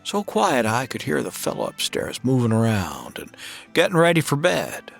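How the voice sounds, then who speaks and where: A mild-mannered drifter cowboy with a gravelly, lilting drawl. He hems and stammers softly. Faint music runs under him. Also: mid-range voice, huge pitch swings, moderate pace. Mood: narrating. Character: easygoing drifter cowboy, modest and soft-spoken. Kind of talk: old-time radio western.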